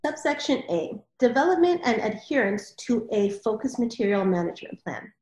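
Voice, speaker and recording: Echoey, higher-pitched woman moderator. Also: sing-song, normal speed, darkish tone. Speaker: panel moderator and reader. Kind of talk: reading standards aloud, moderating discussion. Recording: roomy, echoing sound.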